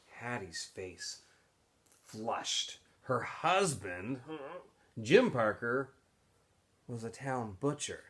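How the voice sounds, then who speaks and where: A man reading a story aloud like a teacher to students, in expressive narration. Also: medium pitch, drawn-out words, pauses often.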